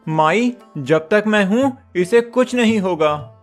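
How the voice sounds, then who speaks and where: A man playing a devoted village goatherd boy, soft and reassuring, high voice, slow, cartoon story. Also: lively intonation, soft background music. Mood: tender. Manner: soft, reassuring, stammers when scared.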